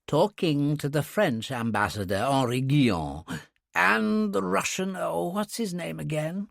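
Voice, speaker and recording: A man's voice: a little high, a little slow, gravelly, dry recording, studio quality, voice pushed up. A man voicing a genteel, easily flustered male professor with old-fashioned, effusive manners in an audiobook story. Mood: chatty.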